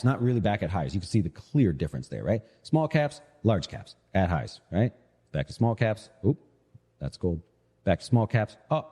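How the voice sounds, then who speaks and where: A man with a low-pitched, very mellow voice talks through the markets as a live show host. He is casual and conversational, often checking in with short rhetorical questions. The recording has long, echoey reverb. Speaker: financial show host, investment manager.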